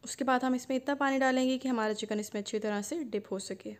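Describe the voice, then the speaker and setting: A woman, hosting a cooking channel and calmly narrating a recipe step by step. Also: fairly high pitch, quick pace. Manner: calm step-by-step instruction.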